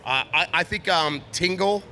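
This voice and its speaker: A man's voice: high-pitched, moderate pace, pushed and bright. A man co-hosting a live podcast on stage, bantering casually with a jokey, readily agreeing manner. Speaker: podcast co-host. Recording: live stage mic with faint noise.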